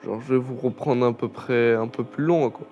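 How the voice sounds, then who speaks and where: A man's voice: mid-range voice, fairly even intonation, normal speed, darkish tone, lo-fi sound. A young man chatting casually over a racing game, rambling with many fillers and restarts. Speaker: gaming channel host. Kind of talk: casual gameplay commentary.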